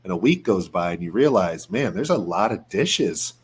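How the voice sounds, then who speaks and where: A man's voice: low-pitched, animated, normal speed, a little hiss or hum. A man in his forties hosting a podcast in a conversational way. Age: 40s. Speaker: podcast host.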